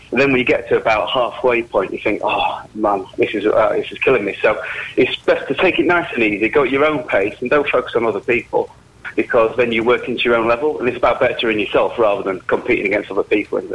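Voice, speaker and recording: Middle-aged man, husky, brisk, easygoing phone-in guest, muffled phone audio. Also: mid-range voice, a bit bright. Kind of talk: interview answers with practical tips. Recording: muffled telephone line into radio.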